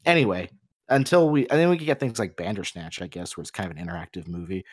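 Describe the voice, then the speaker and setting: Low-pitched man in rambling, emphatic discussion. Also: fast, no echo, studio quality. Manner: rambling, emphatic, explains at length. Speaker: podcast co-host. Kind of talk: casual opinionated discussion of pop culture.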